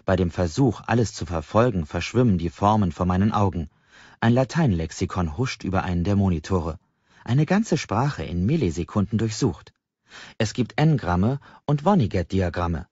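A man narrating a novel as an audiobook, in an expressive storytelling style. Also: low voice, normal speed, husky, no echo, highs cut off. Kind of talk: expressive literary narration, present tense.

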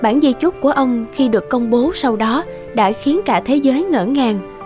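A woman's voice: sits high, animated, normal speed. A woman reading scripted storytelling narration. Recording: music behind the voice. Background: music.

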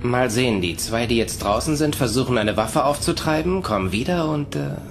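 A man playing a reasoning prisoner in a sci-fi radio drama, measured and a little hesitant as a planner. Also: medium pitch, expressive, over music, close to an everyday voice. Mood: thoughtful. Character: reasoning male captive plotting an escape.

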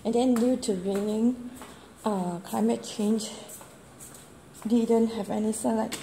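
A middle-aged Singaporean woman chatting casually for a home vlog in a halting, rambling way. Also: fairly high pitch, steady tone, gravelly, warm tone. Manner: halting, rambling, repeats okay. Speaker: home vlogger. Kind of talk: casual unscripted vlog chat. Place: bare-walled flat and semi-open balcony corridor. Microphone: handheld or propped phone or tablet, varying distance. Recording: noisy, echoey amateur home recording.

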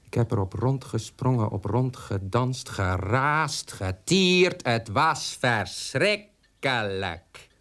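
Morbid hateful son, medium-pitched male voice, grim emphasis, radio play monologue. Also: animated, takes it slowly, a bit bright. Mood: savage bitterness. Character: bitter son, morbidly hateful of his dead parents.

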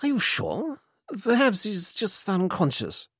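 A narrator doing plummy, indignant young English toff, high-pitched. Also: huge pitch swings, slow pace, slightly rough, dry recording, studio quality, exaggerated voice. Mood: flippant, bemused. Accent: English upper-class. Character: Freddy, tipsy upper-class young English man, indignant, flippant.

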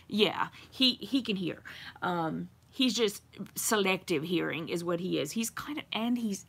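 Bright-voiced chatty woman on a home livestream. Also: mid-range voice, slightly rough. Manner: rambling, chatty, many fillers and giggles. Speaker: YouTube livestream host. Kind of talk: casual live-stream chat with viewers. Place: home craft room with fabric shelves.

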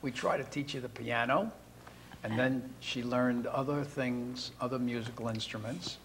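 A man's voice: mid-range voice, normal speed, a bit bright, slight background noise, low-quality recording. An older man, a grandfather, guesting on a TV talk show with brief remarks.

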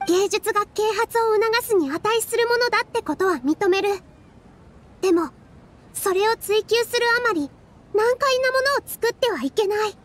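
An actress playing an earnest, soft-spoken young woman dancer who argues politely and in measured phrases in an anime game. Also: extremely high pitch, lively intonation.

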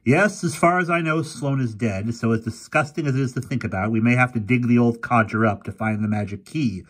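A man's voice: medium pitch, brisk, slightly rough, pitched above the performer's own voice, lightly acted. A man doing a crusty old professor. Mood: grumbling. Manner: grumbling, theatrical old man. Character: gruff elderly male professor, crusty and self-blaming.

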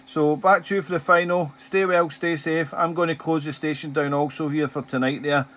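Chatty Scottish man, slightly high voice. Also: steady tone, normal speed, a bit bright. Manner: chatty, repeats himself. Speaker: amateur radio operator, hobby video maker. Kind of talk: casual ham radio chat with call signs. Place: small outdoor radio shack.

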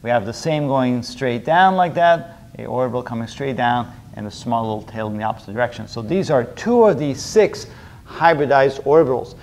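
A man's voice: medium pitch, normal speed, darkish tone. A middle-aged man lecturing at a whiteboard, explaining step by step and often repeating his phrases. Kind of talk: chalk-talk chemistry lecture at a whiteboard. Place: indoor room with a whiteboard.

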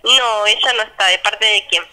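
Sharp, bright Argentine woman on phone. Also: medium pitch, normal speed. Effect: telephone line. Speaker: swimming school secretary answering phone. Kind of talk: phone conversation.

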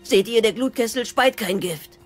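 A man's voice: sits high, normal speed, soft background music. A man playing a bookish, anxious teenage Viking boy, pedantic and precise, in a cartoon audio drama. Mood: earnest explaining. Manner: precise, nerdy, reciting.

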